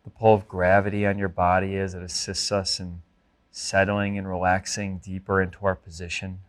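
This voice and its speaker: Male meditation instructor, lower register, gentle and measured delivery for guided mindfulness practice. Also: completely flat delivery. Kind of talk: guided body scan meditation.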